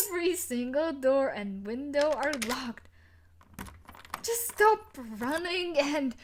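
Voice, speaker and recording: A woman playing an obsessive, possessive young scientist in an audio roleplay, with breathy, coaxing threats and pleading. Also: sits high, a little hiss or hum, full character voice. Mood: frantic, angry. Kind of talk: audio roleplay acting addressed to the listener.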